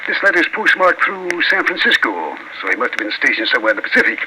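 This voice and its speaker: An actor playing a delighted, chatty older uncle in a 1940s radio drama. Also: male voice, mid-range voice, very animated, fast talker, lightly acted. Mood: pleased. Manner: effusive, chatty. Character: hearty, delighted older uncle welcoming his nephew. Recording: noisy vintage radio recording.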